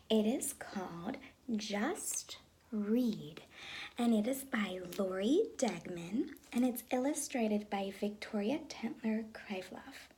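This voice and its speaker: A woman teacher reading a picture book aloud in an expressive way, speaking to young children. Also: medium pitch, a little hiss or hum. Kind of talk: children's story read-aloud. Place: room at home with reading chair.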